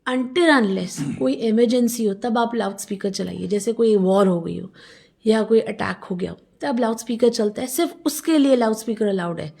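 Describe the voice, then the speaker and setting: Emphatic, high-voiced woman. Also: normal speed, gravelly, dark tone. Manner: emphatic, argumentative, rhetorical questions, mixes in English phrases. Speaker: local resident interviewed about a civic complaint. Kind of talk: street interview, airing a complaint.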